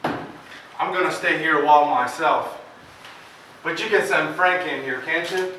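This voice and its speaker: Male, stage drama: actor as smug, patronising county attorney, forceful and fairly high-voiced, recorded from afar in an echoey space. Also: unhurried. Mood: condescending. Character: confident, condescending county attorney. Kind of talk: amateur stage play acting. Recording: echoey stage, distant mic, faint noise.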